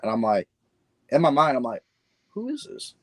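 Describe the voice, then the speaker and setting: A man's voice: medium pitch, sing-song, moderate pace, fairly bright tone. A man chatting casually as a podcast guest, laid-back and rambling, often restarting his sentences. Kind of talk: casual chat.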